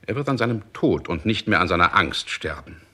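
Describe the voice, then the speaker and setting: A coldly clinical court doctor in a 1960s radio play. His low voice has a hard, bright edge and swings widely. He declaims with officious precision. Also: expressive, brisk, husky, exaggerated voice. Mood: clinical, declamatory.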